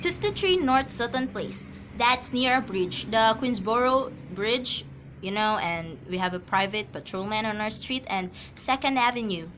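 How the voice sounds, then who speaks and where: A woman's voice: on the high side, expressive, a little slow, a bit bright, slight background noise, rough recording. A woman acting an anxious, imperious, easily flustered wife in an amateur radio drama, agitated and stammering.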